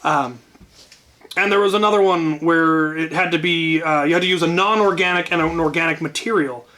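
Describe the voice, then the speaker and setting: A man's voice: fairly high pitch, normal speed, pushed and bright, low-quality recording. A man telling an anecdote casually as a channel host, wryly, often repeating words as he gathers his thoughts.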